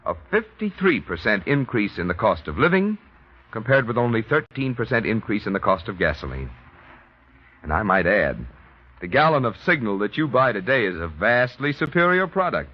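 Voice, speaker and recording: An American man as a 1940s radio commercial announcer, emphatic and persuasive, with a husky voice. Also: fairly low pitch. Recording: dull vintage radio recording.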